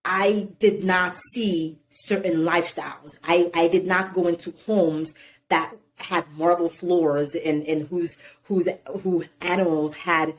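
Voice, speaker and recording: A woman's voice: lowish voice, takes it slowly, rough recording. A woman in her thirties, born in Haiti, telling stories animatedly in a casual interview and leaning often on "you know". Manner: animated storytelling, many "you know" fillers. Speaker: guest.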